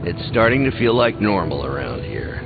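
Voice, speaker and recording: An actor playing a cautious, low-key member of a hero team in a video game, understated and a bit hesitant. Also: male voice, sing-song, moderate pace. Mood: wry. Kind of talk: video game dialogue acting. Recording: thin narrow band, music behind.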